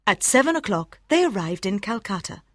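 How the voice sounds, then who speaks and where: A woman narrates an audiobook in a lively, varied voice at a medium pitch. Her reading is scripted and carefully enunciated, and the recording is dry and close. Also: brisk, dry recording. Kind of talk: scripted storybook narration for language learners.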